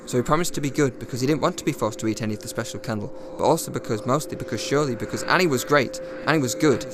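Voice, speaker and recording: A man giving an intense, deliberate dramatic reading of a story, in the style of a radio audiobook. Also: medium pitch, background noise. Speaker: dramatic reader. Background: music under the voice.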